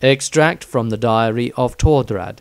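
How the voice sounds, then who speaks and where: Guy narrator reading out story headings, medium pitch, really dry sound. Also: measured pace, gravelly, dry recording. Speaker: storyteller, reader. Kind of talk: scripted narration.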